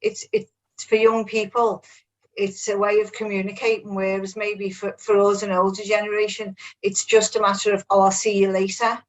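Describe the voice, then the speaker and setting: A middle-aged woman contributes to a group discussion. Her pitch is average and stays quite flat, and she comes across as earnest and plain-spoken. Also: moderate pace, rough recording. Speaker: manager taking part in a webinar. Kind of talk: sharing reflections in a group discussion. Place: online video call.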